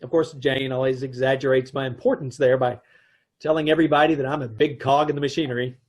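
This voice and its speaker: A man playing a deadpan, husky-voiced advertising man. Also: mid-range voice, fast, natural voice. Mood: dry. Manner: deadpan, wisecracking. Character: dry wisecracking advertising man narrating. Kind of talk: old-time radio comedy acting and narration. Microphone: video call.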